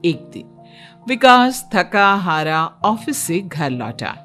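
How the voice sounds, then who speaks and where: A woman's voice: fairly low pitch, big pitch swings, slow, fairly bright tone, soft background music. A woman giving scripted narration as the storyteller of a cartoon moral tale.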